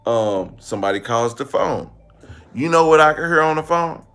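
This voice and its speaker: American man, online commentary host, gravelly creak, slangy, blunt and joking, leans on repetition. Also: a little high, moderate pace, faint music behind. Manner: animated, repeats phrases for emphasis. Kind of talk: casual comedic commentary.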